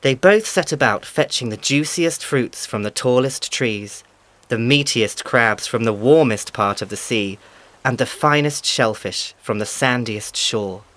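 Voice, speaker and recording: A man narrating a scripted animal fable in a measured, emphatic storytelling manner. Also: mid-range voice, fairly bright tone.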